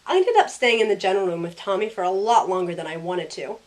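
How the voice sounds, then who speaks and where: A woman's voice: medium pitch, quick pace, close to an everyday voice. A young woman playing a sassy, loyal modern heroine, narrating in the first person in a wry, conversational way. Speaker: narrator and lead character. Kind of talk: first-person story narration with in-character dialogue.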